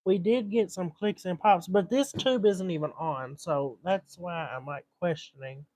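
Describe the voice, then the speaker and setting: Slow-paced man with a higher-pitched voice. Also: a little mellow. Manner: muttering to himself in short fragments. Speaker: livestream host repairing a vintage radio. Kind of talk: casual live repair commentary, thinking aloud.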